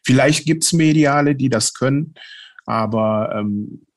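A man's voice: mid-range voice, unhurried. A man speaking as a guest paranormal investigator, explaining his work casually and rephrasing as he goes.

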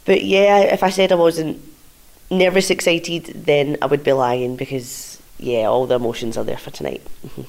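A woman's voice: low-pitched, steady tone, normal speed, gravelly, darkish tone, poor audio. A woman with a Glasgow accent chatting casually as an interview guest, full of fillers and restarts. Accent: Scottish, Glasgow. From Scotland. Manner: chatty, filler-heavy, leans on "like". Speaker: guest wrestler.

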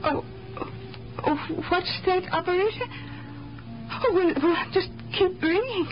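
An actress playing a lonely, fragile old mother in a radio drama, halting and flustered, her voice quavering and tearful. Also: extremely high pitch. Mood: anxious, flustered. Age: older. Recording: muffled old radio broadcast, music behind.

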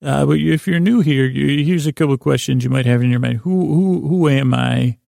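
A man with a creaky voice hosts a soothing sleep podcast, meandering and stumbling over his words as he rambles along. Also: mid-range voice, normal speed, warm tone, no echo, clean studio sound. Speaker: podcast host and bedtime storyteller.

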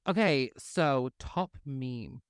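A man's voice: medium pitch, lively intonation, extremely slow, clean tone, no room sound, studio quality. A man co-hosting a podcast in casual chat, Spanish his first language, sassy and campy with plenty of shade. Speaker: podcast co-host.

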